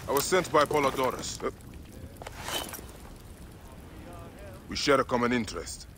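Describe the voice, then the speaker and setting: An actor playing a solemn, determined Egyptian warrior with a grave, deliberate delivery in a game cutscene. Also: male voice, medium pitch, animated, background noise, close to an everyday voice. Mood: urgent, determined. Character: solemn, determined Egyptian warrior protector, grown man, a father. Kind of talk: dramatic game cutscene acting.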